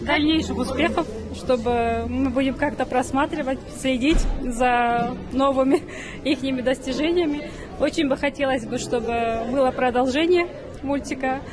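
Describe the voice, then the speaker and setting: A woman viewer sharing impressions in a quick, slightly rambling way with fillers. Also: sits high. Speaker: viewer after screening. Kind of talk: casual interview answer. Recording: crowd noise around.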